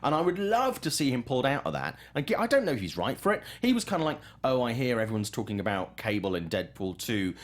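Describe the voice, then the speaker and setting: A man's voice: mid-range voice, big pitch swings, fast, hardly any pauses. A man hosting a casual film talk show, opinionated and enthusiastic, with some swearing. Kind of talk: casual entertainment discussion.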